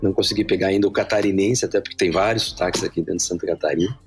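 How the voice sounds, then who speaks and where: A man in his fifties with a Gaúcho Brazilian accent, a guest telling his career story in a relaxed, self-deprecating way. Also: deep voice, racing, faint music behind. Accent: Gaúcho Brazilian Portuguese. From Rio Grande do Sul, Brazil. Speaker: guest, brand director. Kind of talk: casual interview chat.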